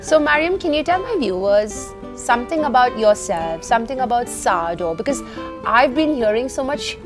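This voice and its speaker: A woman's voice: a little high, animated, normal speed. A woman hosting a talk show, with a playful, sing-song way of talking. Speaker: host. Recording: music playing behind.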